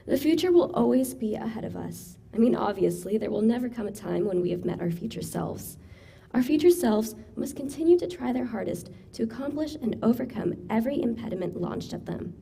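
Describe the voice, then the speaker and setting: A young woman with a raspy voice giving an earnest, encouraging graduation speech as class valedictorian. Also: a little high, animated, fairly quick, warm tone. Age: young adult. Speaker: valedictorian student speaker.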